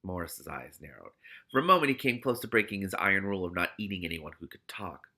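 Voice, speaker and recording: A man with a very bright, forceful voice reads a novel aloud as a host. His delivery is lively and swings widely in pitch, with casual asides between the narrated lines. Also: fast. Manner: animated, stumbles and breaks off to comment. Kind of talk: audiobook-style read-aloud with casual reactions.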